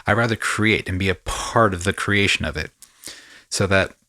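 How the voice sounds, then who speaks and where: A man hosting a casual podcast conversation, speaking reflectively and often pausing to search for his words. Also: deep voice, pushed and bright, no echo. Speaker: podcast host.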